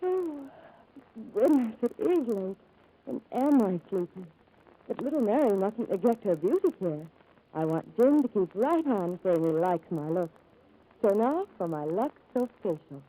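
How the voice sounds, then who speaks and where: A woman's voice: medium pitch, sing-song, measured pace, rough recording. An actress playing a sweet, dainty young woman in a 1940s radio soap commercial. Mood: sweet, dreamy. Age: young adult. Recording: old radio recording, loud music behind.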